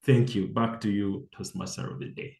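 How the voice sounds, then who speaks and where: Male timekeeper, fairly low pitch, reporting informally in a reverberant space. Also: fairly even intonation, moderate pace, gravelly, dark tone. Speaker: meeting timekeeper. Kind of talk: informal spoken report to a meeting. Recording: echoey room sound.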